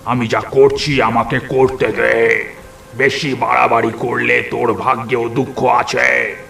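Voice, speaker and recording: A man voicing a menacing old ghoul grandfather who craves flesh, in a slow, domineering, drawn-out way for a horror cartoon. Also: medium pitch, flat delivery, gravelly, full character voice. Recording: echoey voice under loud music.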